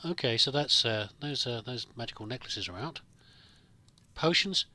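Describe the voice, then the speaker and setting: Bright-voiced man doing game commentary. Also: medium pitch, expressive, moderate pace. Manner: musing, thinking aloud. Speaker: gamer, let's play host. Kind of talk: casual improvised roleplay game commentary.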